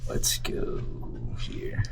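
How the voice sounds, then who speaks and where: A man's voice: very slow, in a whisper. A man playing a board game, speaking casually and reading out quiz questions. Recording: noisy room with clicks.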